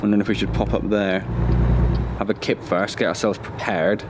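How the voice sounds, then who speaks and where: A man's voice: on the low side, fairly even intonation, moderate pace, very noisy. A man with a slight head cold casually thinking aloud as he plays a driving game, hosting his own gaming channel. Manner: chatty, thinking aloud, self-deprecating asides. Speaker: gaming video host. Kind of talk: casual let's-play commentary.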